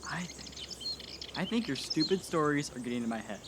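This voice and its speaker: A young man playing a sarcastic, nervy high schooler in an audio drama, snappy and sceptical. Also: lively intonation, moderate pace, natural voice. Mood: sarcastic, impatient. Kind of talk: naturalistic audio drama acting. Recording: noisy outdoor recording with insects. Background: crickets, forest ambience.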